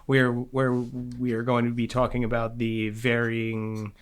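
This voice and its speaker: A man's voice: medium pitch, completely flat delivery, measured pace, clean tone. Profane joking male podcast host in his thirties. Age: 30s. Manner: joking, profane, rambling with restarts. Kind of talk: casual podcast chat.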